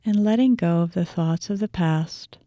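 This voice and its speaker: A woman teacher leading a guided meditation with gentle, measured phrasing and deliberate pauses. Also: fairly low pitch, dry recording, highs cut off.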